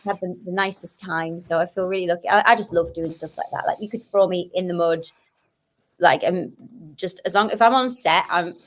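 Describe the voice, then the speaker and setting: A woman's voice: lowish voice, a little fast. A young woman from Cumbria with a Northern English accent, chatting animatedly as a podcast guest and telling stories with quoted asides. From Barrow-in-Furness, Cumbria, England. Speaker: guest, sketch comedian and actress. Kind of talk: casual podcast chat.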